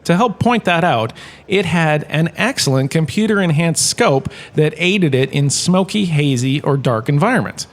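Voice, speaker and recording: A man's voice: a little high, expressive, moderate pace. A man playing a washed-up actor turned lecturer in a comedic audio drama, chatty and wry, tossing asides to his class. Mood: wry lecturing.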